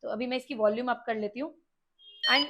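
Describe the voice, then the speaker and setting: High-pitched Indian woman presenting a review. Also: brisk, background noise. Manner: explanatory, conversational, mixing in English words. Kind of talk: walkie-talkie review with demonstration.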